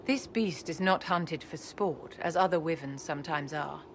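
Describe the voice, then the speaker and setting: A haughty court enchantress who talks down in a poised, formal way. Her voice sits low. Steady hiss runs under the game audio. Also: normal speed. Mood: imperious. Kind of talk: video game cutscene dialogue.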